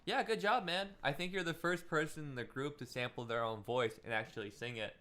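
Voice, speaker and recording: Bright-voiced man giving chatty feedback. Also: fairly high pitch, steady tone, brisk. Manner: loose and chatty, full of "like" and "um" fillers; encouraging, gives friendly advice. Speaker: music production instructor. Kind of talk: casual livestream feedback session.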